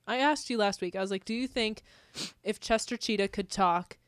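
A young American woman with a mid-pitched voice, recorded dry and close. She chats as a podcast co-host in a loose, joking way and giggles through a lot of what she says. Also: normal speed, clear, resonant tone, dry recording. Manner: giggly, laughs often while talking. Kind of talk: casual comedic banter chat.

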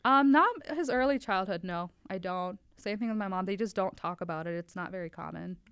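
A woman's voice: mid-range voice, a little fast, a bit bright, clear, resonant tone, no echo, studio quality. A woman in her twenties from Wisconsin, a guest chatting openly on a casual podcast about her work and family. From Wisconsin, United States. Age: 20s. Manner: chatty and open, talks in long flowing stretches. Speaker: podcast guest, lab tech.

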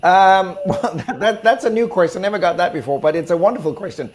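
Male interview guest, Danish accent, slightly high voice, explanatory and emphatic. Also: expressive, fairly quick, slight background noise. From Denmark. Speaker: guest, negotiation expert. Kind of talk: conversational interview answers.

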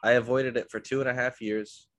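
A man's voice: mid-range voice, little pitch movement, a little fast, fairly bright tone, no room sound. A man hosting a podcast, a bit congested from illness, telling rambling self-deprecating stories in a casual chat. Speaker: podcast host.

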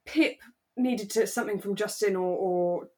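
A woman co-hosting a relaxed, chatty podcast, telling stories in a playful, jokey and animated way. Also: medium pitch, moderate pace. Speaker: podcast co-host. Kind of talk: relaxed casual chat about a radio drama.

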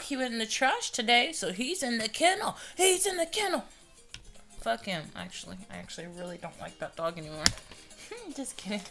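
A young woman hosts her solo podcast in a casual, profane ramble, laughing often. Her voice sits high and bright with a lively, swooping pitch. She takes her time, and faint music plays underneath. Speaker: podcast host.

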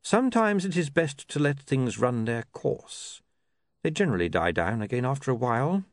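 A man voicing a calm, coolly ironic ruler of a fantasy city, measured and precise, in an audiobook. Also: mid-range voice, no room sound, studio quality, voice pushed up, close to an everyday voice. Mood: calm, pointed.

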